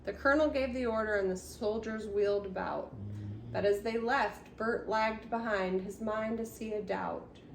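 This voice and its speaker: An older woman reading a rhyming children's storybook aloud at home, expressive, with murmured asides. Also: mid-range voice, moderate pace, vocal fry, far from the mic. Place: room at home. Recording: roomy echo, faint background noise.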